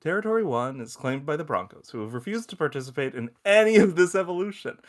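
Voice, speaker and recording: A man's voice: fairly high pitch, fast talker, lightly acted. A man playing a crude, teasing space probe who loves football and shows off as he explains, in a table-read audio drama. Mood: earnest, enthusiastic. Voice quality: animated, swooping delivery. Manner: foul-mouthed, teasing, showy explainer.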